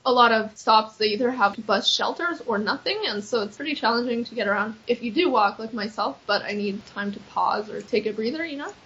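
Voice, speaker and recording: A woman's voice: a little high, a little fast, few pauses, fairly bright tone. Chatty young woman, lo-fi. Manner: earnest, chatty, frequent "like" fillers. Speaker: accessibility activist. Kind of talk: casual interview answers. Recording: slightly dull lo-fi recording.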